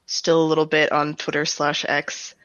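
A woman's voice: low voice, completely flat delivery, moderate pace, fairly bright tone, no echo. A woman giving casual, explanatory answers as an interview guest. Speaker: interview guest, audio drama director. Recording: dull, band-limited remote recording.

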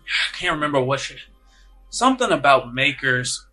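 Man acting a loud, gruff, tipsy laborer. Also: medium pitch, sing-song, normal speed, soft background music. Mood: irritated, rambling. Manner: loud, rambling, irritable. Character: sweaty, drunk, hard-laboring man, gruff and exasperated.

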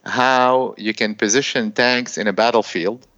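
A man in his fifties from Lebanon, a guest telling his career story in a measured, thoughtful way with many fillers. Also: medium pitch, fairly even intonation, a bit bright, no room sound. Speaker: guest, hedge fund founder. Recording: dull, lo-fi sound.

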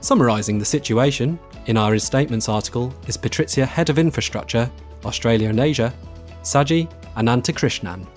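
A man's voice: mid-range voice, a little fast, soft background music. A man presenting as a podcast host from a script, introducing material in a structured way.

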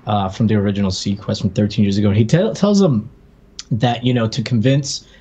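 A man's voice: fairly low pitch, fairly quick, dark tone, husky. A man co-hosting a podcast, chatting casually and informally as he reviews a television show. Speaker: podcast co-host. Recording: dull lo-fi microphone sound.

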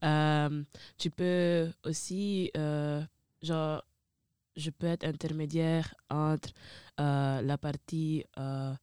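Clear-voiced young woman with an Italian accent. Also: low-pitched, level tone, very slow, dark tone, dry recording, clean studio sound. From Italy. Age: young adult. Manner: informal, frequent slang fillers like "genre". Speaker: podcast guest, student. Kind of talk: casual chat.

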